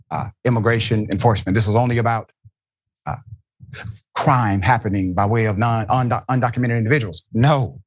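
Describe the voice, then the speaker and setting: A man's voice: lowish voice, no echo, poor audio. A man hosting a political commentary show, talking emphatically and asking rhetorical questions. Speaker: show host.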